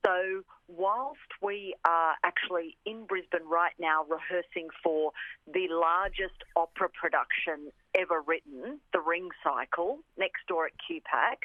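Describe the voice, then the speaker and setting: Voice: mid-range voice, no echo. Arts spokesperson for radio interview, phone-quality sound, keen explainer who stresses points by repeating. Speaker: orchestra representative being interviewed.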